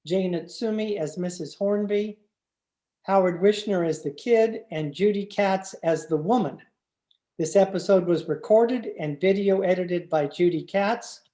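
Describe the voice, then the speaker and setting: A very echoey recording of a man announcing. He reads scripted credits for a radio play in a slightly soft-edged, mellow tone. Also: high-pitched, measured pace. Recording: echoey room.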